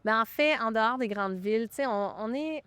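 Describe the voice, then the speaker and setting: Enthusiastic Québécois businesswoman, smooth voice. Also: fairly high pitch, brisk, fairly bright tone, dry recording. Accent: Québécois French. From Quebec (Magog). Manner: enthusiastic, talks with lots of hesitation fillers. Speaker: real estate broker, guest. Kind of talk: casual interview chat.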